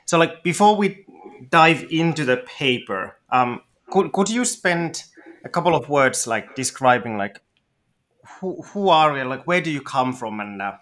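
A man's voice: a little high, lively intonation, unhurried, fairly bright tone. A man hosting an interview podcast, talking in an eager, chatty way. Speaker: podcast host.

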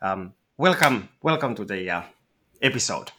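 A man's voice: fairly low pitch, lively intonation, moderate pace, bright, forceful tone, a little hiss or hum. A man hosting an interview podcast, talking in an eager, chatty way. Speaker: podcast host.

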